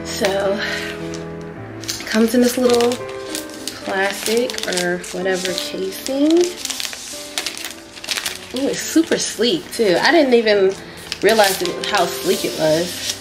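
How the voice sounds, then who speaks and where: A young woman vlogging an unboxing in a small living room, chatty and excitable, a little sniffly from a cold. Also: medium pitch, slow, pauses often, over music, poor audio. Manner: chatty and excitable, addresses viewers as y'all. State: sniffly, getting over a cold. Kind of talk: casual unboxing vlog.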